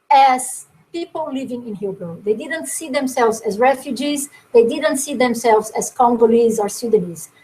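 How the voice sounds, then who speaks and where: A woman with a fairly high voice presents her own work in a webinar. She speaks in a reflective, halting way and often repeats a word before she finds the rest of the sentence. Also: a little mellow, poor audio. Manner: thoughtful, halting, repeats words while searching.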